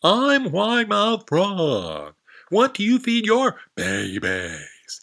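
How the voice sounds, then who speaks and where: A man voicing a loud, boastful and rude female frog from a storybook, drawing out his words slowly. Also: sits high, wildly swooping pitch, voice pushed up, full character voice. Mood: haughty. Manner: slow, drawn-out, shouted words. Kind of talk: storybook character voice.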